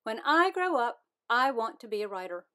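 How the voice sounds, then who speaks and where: A boastful little storybook rabbit girl, played by a woman reading aloud. Her voice is high and swings widely as she declaims. She is dramatic, gushing and quick to get huffy. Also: big pitch swings, bright, forceful tone. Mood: proud.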